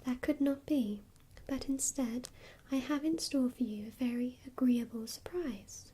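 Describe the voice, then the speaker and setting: A woman whispering the lines of a strange old male clockmaker godfather in a grating, monotonous manner. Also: sits high, a little slow, slight background noise. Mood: teasing.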